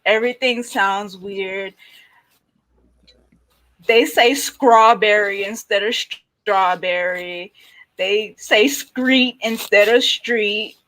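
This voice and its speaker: Woman, New York accent, panel host; very slow, halting delivery with long pauses. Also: medium pitch, a bit bright, poor audio. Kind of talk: casual panel chat.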